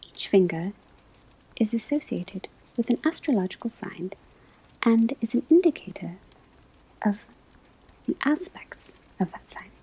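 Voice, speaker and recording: A woman whispering in a breathy, husky voice, delivering a scripted ASMR classroom lecture as a teacher, with deliberate, measured phrasing. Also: mid-range voice, lively intonation, warm tone, low-quality recording. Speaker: ASMR performer playing a divination class teacher.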